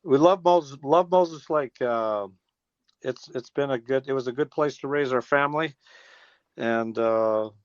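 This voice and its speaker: A man's voice: mid-range voice, measured pace, dry recording. An American man in his sixties chatting casually as an interview guest, folksy and rambling, with trailing restarts. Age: 60s. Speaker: interview guest, teacher and former air traffic controller. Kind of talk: casual phone interview, reminiscing. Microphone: telephone. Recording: dull, lo-fi phone line.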